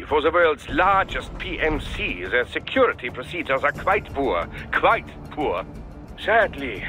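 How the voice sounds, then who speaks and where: An eccentric male scientist from a video game, with a high, bright voice that swoops all over. He is fussy, eager and pedantic, phrasing everything formally and theatrically. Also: heavily acted, low-quality recording. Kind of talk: scripted game acting.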